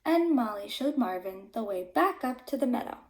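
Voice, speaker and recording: A woman's voice: on the high side, expressive, normal speed, echoey room, a little hiss or hum. A woman reading a storybook aloud to young children like a teacher, with simple, emphatic phrasing.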